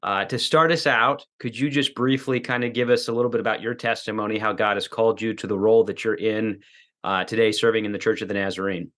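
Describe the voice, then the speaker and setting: A man's voice: fairly low pitch, steady tone, fast, a bit bright. A male podcast host giving a scripted introduction and asking interview questions in a polite, welcoming way.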